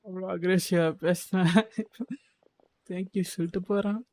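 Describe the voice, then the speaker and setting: A man's voice: sits high, little pitch movement, racing, darkish tone. A man giving casual live reactions as a game streamer.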